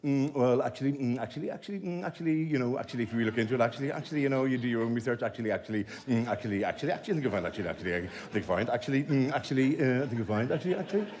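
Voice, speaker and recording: A comedian acting out a smug, pedantic male know-it-all from a stand-up bit. The voice drops low and quiet, hedging and repeating itself with condescending little corrections. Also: animated, very fast, hardly any pauses, lightly acted. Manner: hesitant, repetitive, condescending hedging.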